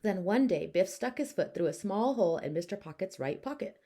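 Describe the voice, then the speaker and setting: A woman teacher narrating a children's storybook aloud with expressive, deliberate phrasing. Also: medium pitch.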